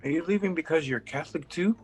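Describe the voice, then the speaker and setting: A restless, wisecracking boy, read by a man at a table read speaks in a fairly high, breathy voice. Faint music runs underneath. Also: quick pace. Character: cheeky young boy, restless and joking.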